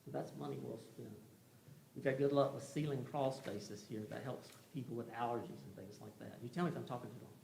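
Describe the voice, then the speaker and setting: A man's voice: mid-range voice, fairly quick, vocal fry, a little mellow. A man giving an informal, rambling presentation to a commission, explaining things in a conversational, folksy way as a contractor would. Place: indoor meeting room. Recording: echoey room with faint background noise.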